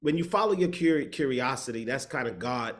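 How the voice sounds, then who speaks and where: A man from the Bahamas, a matchmaker and speaker, telling his story in a reflective, steady way in an interview over a video call, sometimes searching for words. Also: medium pitch, fairly quick.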